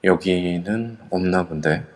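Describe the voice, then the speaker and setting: A man's voice: low voice, slow, heavy background noise. Man playing an earnest young hero. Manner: earnest, heated. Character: determined young male hero. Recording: long reverb.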